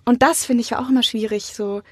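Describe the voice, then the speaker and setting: A woman's voice: a little high, steady tone, quick pace, a little mellow, husky, no echo, studio quality. A young adult woman giving casual, informal and anecdotal answers as an interview guest. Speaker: interview guest, acting student.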